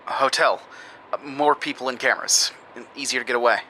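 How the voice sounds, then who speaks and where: Casting: male actor for weary, uneasy man telling his horror story, conversational and halting, medium pitch, audio drama lead. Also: steady tone, bright, forceful tone, a little hiss or hum, lightly acted, poor audio. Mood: anxious, urgent. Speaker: narrator and lead character. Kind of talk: audio drama first-person narration.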